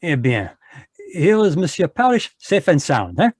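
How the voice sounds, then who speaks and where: A man's voice: a little high, wildly swooping pitch, normal speed, husky, no room sound, clean studio sound, heavily acted. A man voicing a smug Belgian detective with a put-on French accent in an old-time radio play, pompous and polite. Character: fussy middle-aged Belgian male detective, smug. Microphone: video call microphone.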